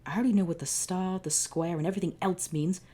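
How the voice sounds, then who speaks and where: A woman voicing an anguished ancient male pharaoh in a breathy, half-whispered plea, pitched well above her own low voice. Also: steady tone, fast talker, warm tone, natural voice. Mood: distressed.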